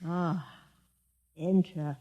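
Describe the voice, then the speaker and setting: An actor playing a wary, terse gatekeeper in a vintage radio drama. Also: male voice, a little high, fairly even intonation, drawn-out words. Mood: suspicious. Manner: terse, suspicious, questioning. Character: wary gatekeeper of a holy man's dwelling. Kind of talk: 1950s radio drama acting. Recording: dull, noisy old radio recording, long reverb.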